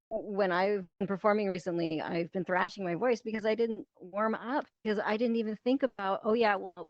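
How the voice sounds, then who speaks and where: A woman's voice: medium pitch, darkish tone, dry recording, lo-fi sound. A woman moderating a casual panel, putting questions to the other performers over a VR headset microphone. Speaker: panel moderator, drama student.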